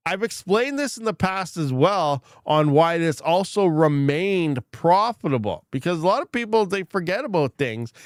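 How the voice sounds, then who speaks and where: A man's voice: a little high, dry recording, clean studio sound. Congested man hosting a casual livestream. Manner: rambling, frank, many fillers and restarts. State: congested, recovering from lost voice. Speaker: crypto mining livestream host. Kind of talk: casual livestream chat reading comments.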